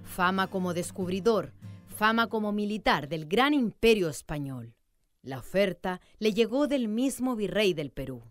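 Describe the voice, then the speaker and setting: A woman narrating a scripted radio drama in a measured, literary storytelling manner. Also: medium pitch, animated, soft background music.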